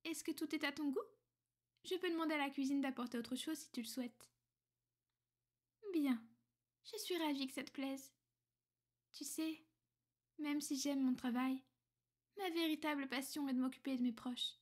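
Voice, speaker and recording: A woman playing a soft, high-voiced, tender vampire lover. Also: natural voice. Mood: affectionate, composed. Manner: soft, intimate, often whispering, slow and caring. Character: centuries-old female vampire girlfriend, tender, possessive, refined. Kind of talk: French ASMR roleplay.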